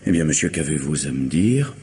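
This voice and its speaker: A man's voice: extremely low pitch, big pitch swings, brisk, mellow. An actor playing a busy professional man in a radio mystery drama, formal and indignant yet warily curious. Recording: noisy old radio drama recording.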